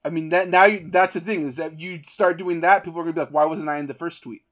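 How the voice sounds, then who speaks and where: A man's voice: on the high side, fairly even intonation, fast talker, fairly bright tone. A man hosting a casual, joking conversation, teasing and slangy. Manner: slangy, teasing, says "bro" and "dude" often. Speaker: host. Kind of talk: casual, joking podcast banter.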